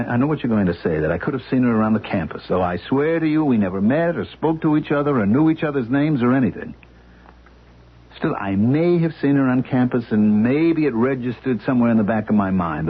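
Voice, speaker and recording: An actor playing an anxious husband who stammers and repeats himself, in a radio drama. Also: male voice, medium pitch, animated, fairly quick, husky. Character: anxious middle-aged man, husband, frightened and pleading. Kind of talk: 1970s radio drama acting. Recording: muffled lo-fi old radio recording.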